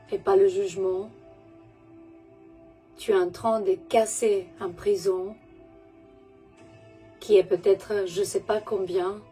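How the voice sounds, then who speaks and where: Very breathy slow-speaking woman. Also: medium pitch. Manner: gentle, soothing, halting with pauses and self-corrections; speaks French as a second language. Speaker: spiritual messenger. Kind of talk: slow meditative spiritual message to viewers.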